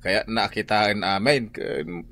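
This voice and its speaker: A man's voice: lowish voice, takes it slowly, pushed and bright, no room sound, heavily acted. A man with a Filipino accent playing a chatty gossip in a radio drama. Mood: gossipy.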